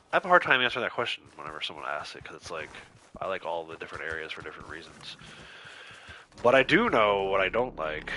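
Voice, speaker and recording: A man with a lowish voice and a bright, forceful edge chats casually with viewers while playing a game. A cold leaves him congested and slightly nasal. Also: rough recording. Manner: chatty, reads out and answers viewer chat, laughs easily. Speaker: game streamer.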